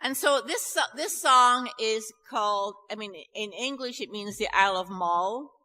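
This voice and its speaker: A nervous, chatty woman with a Scottish accent talks to an audience before her song. Her voice is bright and forward. The hall gives it a long, ringing reverb. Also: on the high side, normal speed. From Scotland. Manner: nervous, chatty and self-deprecating, with laughs and restarts. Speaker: festival singer introducing a song. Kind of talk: chatty stage talk to an audience. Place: church hall. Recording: live recording in a reverberant hall.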